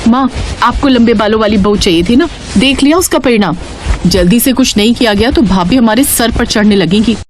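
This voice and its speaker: A woman voicing a suspicious, scheming young sister-in-law in a storybook tale, excitable and nagging. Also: on the high side, a little fast, slight background noise, natural voice. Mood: complaining. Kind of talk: Hindi moral storybook character voice.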